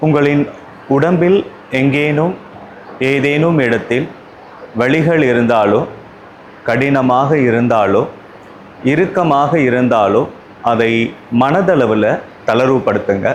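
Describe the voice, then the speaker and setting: A man with a Tamil Indian accent guiding a yoga relaxation class, calm and unhurried, giving instructions in short spaced phrases. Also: mid-range voice, a little hiss or hum, poor audio.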